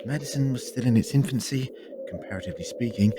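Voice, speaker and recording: An actor playing a learned, eloquent time traveller in an audio drama, with a theatrical, drily humorous manner. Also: male voice, mid-range voice, quick pace, background noise, natural voice. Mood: grave, explanatory. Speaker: lead actor.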